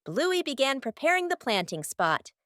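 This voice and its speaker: A woman's voice: high-pitched, big pitch swings, normal speed, a bit bright, no room sound, clean studio sound. A woman reading scripted narration for a children's cartoon story. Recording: music behind the voice.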